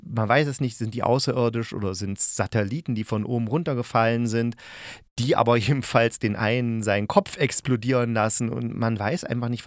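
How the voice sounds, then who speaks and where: A man's voice: mid-range voice, no room sound. A male podcast host with a mid-range voice gives a casual spoken review, meandering and thinking aloud. The sound is close and dry, with no room around the voice.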